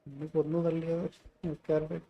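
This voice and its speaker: A woman's voice: deep voice, flat delivery, racing, dull audio. A woman with a Malayali accent, a native Malayalam speaker, giving casual live commentary as she streams a game.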